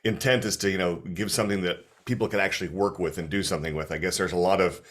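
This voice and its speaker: A man's voice: sits low, steady tone, fast talker, a bit bright. A man, a guest author, thanking his host in an appreciative, thoughtful way with frequent restarts. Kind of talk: casual talk. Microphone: video call.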